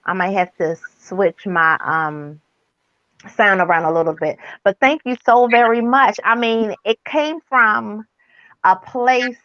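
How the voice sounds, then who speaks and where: A woman's voice: medium pitch, slow pace, fairly bright tone, dry recording. A woman hosting a casual online interview show, upbeat, with fillers and restarts, on a video call. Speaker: host. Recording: dull lo-fi online call audio, dry.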